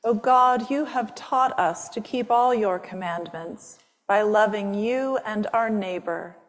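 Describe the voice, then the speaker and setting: A woman's voice: mid-range voice, slow. Chatty woman priest in a reverberant church. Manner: chatty, self-interrupting with fillers. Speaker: priest leading the service. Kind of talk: liturgy and church announcements. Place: church sanctuary. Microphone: microphone.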